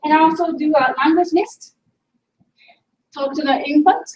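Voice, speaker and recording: High-voiced Japanese-accented woman, echoey room. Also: steady tone, a little mellow. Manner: halting, searching for words. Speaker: linguistics teacher presenting at a conference. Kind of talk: informal conference presentation. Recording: echoey room, distant mic, faint noise.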